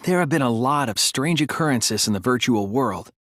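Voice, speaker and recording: A man playing an earnest, analytical young male detective in an English video game dub, reasoning deliberately and articulately. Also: mid-range voice, animated, fairly bright tone, dry recording, clean studio sound. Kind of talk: English game dub, dramatic courtroom deduction.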